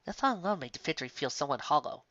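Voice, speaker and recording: A man's voice: a little high, big pitch swings, rapid-fire, bright, forceful tone, no room sound, muffled recording. A man reading a fantasy story aloud in a theatrical, dramatic way. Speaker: narrator, reader. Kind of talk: expressive amateur fan fiction reading.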